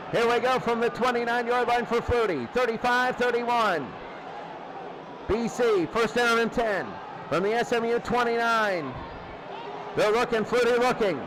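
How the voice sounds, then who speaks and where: High-pitched man calling football, noisy recording. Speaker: play-by-play sports announcer. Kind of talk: live radio-style football commentary.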